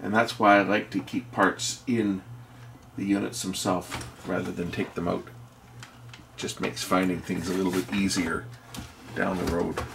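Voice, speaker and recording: Low-voiced man rambling through a repair, noisy background. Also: level tone, gravelly. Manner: rambling, halting, thinking aloud. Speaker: electronics repair video host. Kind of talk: casual unscripted repair commentary.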